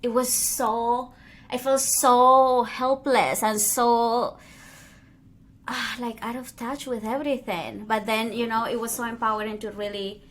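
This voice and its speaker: Expressive woman. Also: a little high, measured pace. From Colombia. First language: Spanish. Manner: expressive, playful storyteller. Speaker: podcast host. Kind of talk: casual interview chat.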